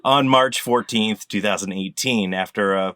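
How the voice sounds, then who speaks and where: A man's voice: lowish voice, normal speed, bright, forceful tone, no echo. A man presenting a quiz question in casual comedic chat, rambling and laughing at his own slips.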